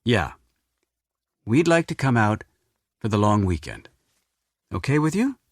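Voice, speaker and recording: A man voicing a blunt, brisk grown daughter making plans with her father. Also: fairly low pitch, big pitch swings, no room sound, studio quality, pitched above the performer's own voice.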